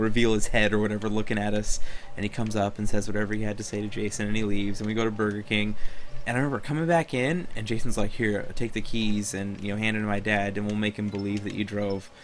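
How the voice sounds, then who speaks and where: A man's voice: on the low side, fast. American man telling stories, noisy recording. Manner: animated, sweary, acts out quotes. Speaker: gaming show host. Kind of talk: casual chat and storytelling over a video game. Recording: clear background noise.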